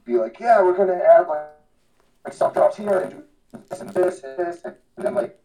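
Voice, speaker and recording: A man's voice: high-pitched, moderate pace, warm tone, faint music behind, rough recording. A man giving casual, slangy interview answers as a podcast guest, earnest and rambling. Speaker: podcast guest, hardcore band vocalist.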